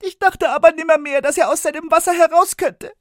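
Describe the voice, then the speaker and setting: A man voicing a spoiled young princess in a fairy tale, in a high falsetto. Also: steady tone, a little fast, a bit bright, no echo, clean studio sound. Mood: frightened.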